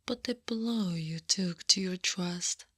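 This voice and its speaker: A female holiday monster, acted by a woman, speaks slowly in a breathy near-whisper, right up close and dry on the microphone. She is knowing and firm yet tender, coaxing and soothing in an ASMR roleplay. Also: on the low side, dry recording, exaggerated voice. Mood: gentle, knowing. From Italy. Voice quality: soft, breathy, often whispered. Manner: slow, gentle, deliberate coaxing.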